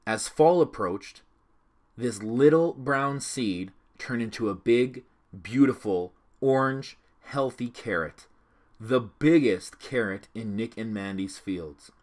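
A man narrating a children's storybook read-along with clear, expressive delivery. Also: medium pitch, takes it slowly.

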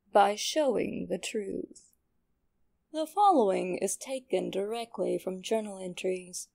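Slow, creaky-voiced woman narrating horror. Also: medium pitch, dark tone. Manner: sinister, deliberate.

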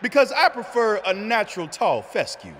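A man playing a bombastic, goofy showman wrestler in a video game, theatrical and booming, drawing out his words. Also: huge pitch swings, normal speed, a bit bright, slight background noise, heavily acted. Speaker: wrestler character in a cutscene. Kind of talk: video game acting, hype promo.

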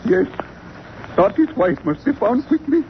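An actor playing a timid shopkeeper informant in 1940s radio drama, with stilted phrasing that drops articles. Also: male voice, extremely high pitch, big pitch swings, a little slow, gravelly, heavily acted. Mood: guarded. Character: timid, high-voiced male shopkeeper informant. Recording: muffled old radio recording, faint noise.

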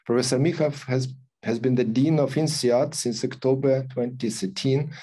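An older man hosting an online event, reading a formal introduction with many pauses and fillers. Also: mid-range voice, warm tone. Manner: halting, many fillers and restarts. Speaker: host introducing a guest. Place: office with logo backdrop. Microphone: video call.

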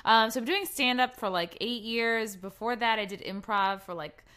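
Bright-voiced chatty comedian woman. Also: on the high side, normal speed, clear, resonant tone. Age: 30s. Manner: chatty, self-deprecating, many fillers. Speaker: stand-up comedian guest. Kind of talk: casual interview chat.

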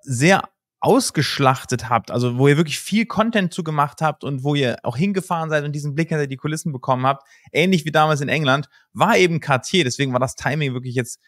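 A man in his thirties hosting an interview, talking quickly and enthusiastically to his guest and to the viewers. He speaks into a studio microphone on a video call. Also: fairly high pitch, fairly bright tone, dry recording. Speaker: host.

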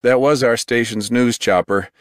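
A man's voice: medium pitch, normal speed, clear, resonant tone, dry recording, clean studio sound, voice pushed up, lightly acted. An actor reading as a male TV field reporter, speaking urgently in a raised voice. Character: male on-scene TV reporter, tense, breathless.

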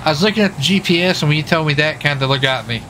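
Bright-voiced man improvising game role-play. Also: fairly high pitch, very fast. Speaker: streamer playing a US marshal in online role-play. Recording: steady background noise, game vehicle sounds. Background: game vehicle and ambient sounds.

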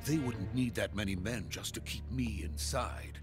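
An actor voicing a grave, bitter middle-aged Soviet scientist in a video game, speaking in formal, measured explanations. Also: male voice, lowish voice, close to an everyday voice. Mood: anxious, insistent. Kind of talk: dramatic video game voice acting. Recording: loud music behind the voice.

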